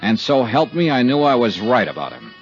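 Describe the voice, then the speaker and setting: An American actor playing a stubborn, sardonic investigator in a 1950s radio detective drama, with a wry, clipped, hard-boiled delivery. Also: male voice, mid-range voice, very animated, fairly quick, close to an everyday voice. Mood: wry, brooding. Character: stubborn, sardonic male insurance investigator narrating his case. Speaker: lead actor and narrator. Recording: dull 1950s radio recording with music.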